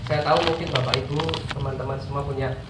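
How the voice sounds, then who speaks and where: A man's voice: medium pitch, flat delivery, fast talker. A man in his forties with an Indonesian accent and a stuffy, nasal voice, giving an informal, jokey motivational talk to listeners he treats as friends. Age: 40s. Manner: jokey, self-deprecating asides, addresses listeners as friends. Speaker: guest motivational speaker. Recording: echoey room, far mic, clear background noise.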